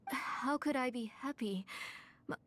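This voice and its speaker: A woman's voice: sits high, measured pace, fairly bright tone. An actress playing a grieving, obsessive mother in a video game, dramatic and anguished. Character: grieving, obsessive mother, desperate to revive her child.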